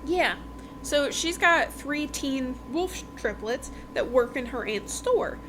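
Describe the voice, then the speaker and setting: A woman's voice: high-pitched, animated, takes it slowly. A woman podcast host casually retelling a novel's plot in a chatty, conversational way. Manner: chatty, conversational, acts out dialogue in passing. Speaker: podcast host reviewing books. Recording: clearly noisy background.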